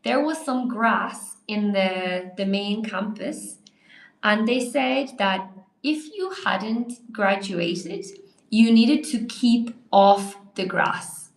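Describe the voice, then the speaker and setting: A young woman teaching a live online English lesson, a little hoarse, praising viewers by name as she explains. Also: medium pitch, expressive, slow pace, reverberant, rough recording. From Ireland.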